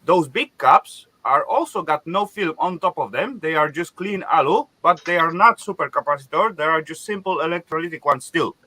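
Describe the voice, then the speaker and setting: Man, lively pitch, bright voice, teasing, casual chat. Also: normal speed, hardly any pauses, no room sound. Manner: non-native phrasing, teasing, laughs a lot. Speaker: live chat guest.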